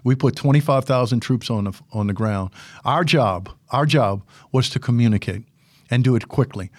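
An older man with a big voice, a guest on a podcast who tells his stories in a lively way and often restarts or repeats his words. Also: mid-range voice, moderate pace, dry recording, studio quality. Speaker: guest, former military public affairs officer and instructor. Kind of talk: casual podcast interview.

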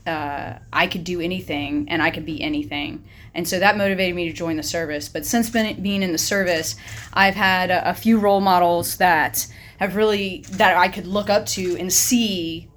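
A middle-aged woman army battalion commander answering interview questions in a measured, composed way. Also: lowish voice, slight background noise.

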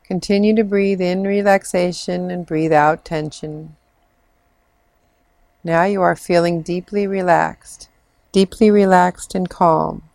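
A woman leading a guided imagery meditation in a soothing, measured manner with long pauses. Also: fairly low pitch, fairly even intonation, vocal fry, darkish tone, no room sound. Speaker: meditation guide, clinical social worker.

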